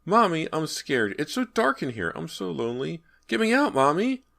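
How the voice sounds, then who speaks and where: A man voicing a frightened little boy trapped in a wall, with high, pleading cries. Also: sing-song, normal speed, vocal fry, fairly bright tone, heavily acted.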